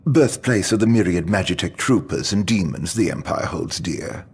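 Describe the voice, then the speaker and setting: Casting: mocking game villain, deep and husky, grandiose, slyly polite, very expressive delivery. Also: male voice, heavily acted. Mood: smug, taunting. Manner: taunting, grandiose, drawn-out mock politeness. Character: smug, theatrical male villain, mocking and sly. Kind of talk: video game cutscene acting.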